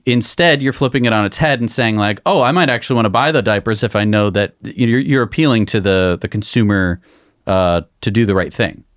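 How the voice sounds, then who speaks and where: A man's voice: lowish voice, brisk, no echo. Young man co-hosting, dry close mic. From United States. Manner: thinks aloud with casual fillers. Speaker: podcast co-host. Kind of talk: casual interview chat. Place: studio with shelves, indoors. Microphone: desk mic on arm.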